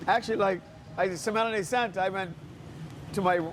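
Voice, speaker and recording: A man from Bermuda with a high voice chats as an interview guest. He tells stories conversationally, often quoting people and repeating himself. Faint outdoor noise sits behind him. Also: fairly quick. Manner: animated anecdotes, quotes people, repeats phrases. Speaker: interview guest, restaurant owner. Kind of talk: casual storytelling chat.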